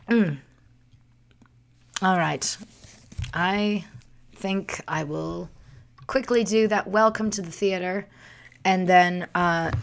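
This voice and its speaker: A woman hosting a casual livestream in a smoky, worn day-after voice, rambling haltingly through anecdotes. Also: on the low side, gravelly. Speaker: livestream host.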